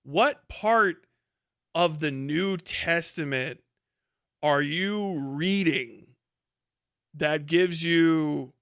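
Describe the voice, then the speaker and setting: Man, slightly high pitch, very clean tone, close dry sound, casual live commentary, blunt, argumentative, rambling. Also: extremely slow, pauses often, a bit bright, smooth, dry recording. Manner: blunt, profane, sardonic. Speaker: streamer commenting on news.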